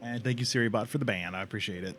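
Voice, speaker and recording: A man's voice: fairly low pitch, racing, fairly bright tone. A man giving casual, crude and slangy live commentary while streaming a video game. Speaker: livestreamer playing a video game. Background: game music.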